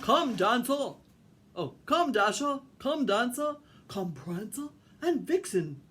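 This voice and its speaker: Casting: big-voiced bossy old Father Christmas acted by a woman, very slow, swooping storybook calls. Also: big pitch swings, a bit bright, husky, heavily acted. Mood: calling out. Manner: booming, drawn-out commanding calls.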